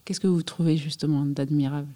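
A woman's voice: sits low, fairly even intonation, fairly quick, warm tone. A woman interviewer asking short, direct questions, heard from farther from the mic.

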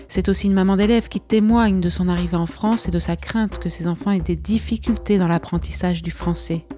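Mellow-voiced woman radio presenter. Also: mid-range voice. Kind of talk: scripted radio narration.

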